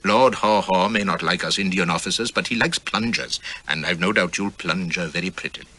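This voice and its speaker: A man's voice: deep voice, brisk, bright, forceful tone. A male narrator voicing a dry, sardonic veteran army captain.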